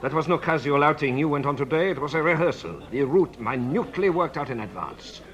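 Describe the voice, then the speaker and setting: An actor playing a shrewd, courteous detective in a radio drama, with a measured, formal, knowing manner. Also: male voice, fairly high pitch, expressive, fairly bright tone, muffled recording, a faint crowd. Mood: firm, probing.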